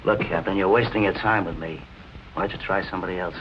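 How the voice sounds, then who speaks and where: An actor playing a defiant nightclub owner in 1940s film noir style, brusque and deadpan. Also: male voice, low-pitched, quick pace, background noise, close to an everyday voice.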